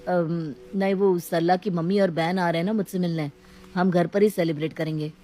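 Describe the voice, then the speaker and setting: A woman's voice: lowish voice, steady tone, normal speed, warm tone, close to an everyday voice. A woman voicing a mild married man torn between relatives, speaking in a placating, hesitant way.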